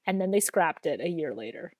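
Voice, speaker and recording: A woman's voice: lively intonation, fairly quick, a little mellow, no room sound. Chatty young woman on a dry mic. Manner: chatty, filler-heavy, drops low for asides. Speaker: podcast co-host. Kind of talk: casual chat.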